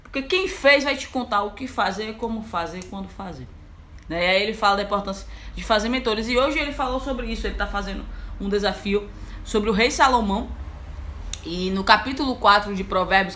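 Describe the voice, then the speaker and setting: A young Brazilian woman, an engineer, talking casually to her online audience as a mentor, lively and chatty with many fillers. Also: medium pitch, a little fast, a little hiss or hum. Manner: lively, chatty, frequent fillers like "então, assim". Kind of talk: casual live talk to an audience.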